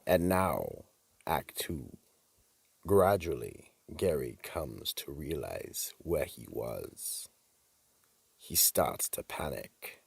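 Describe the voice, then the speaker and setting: A man narrating a radio mystery as an ominous storyteller, slow and dramatic, with a very deep, rough, gravelly voice. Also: a little mellow, no echo, heavily acted.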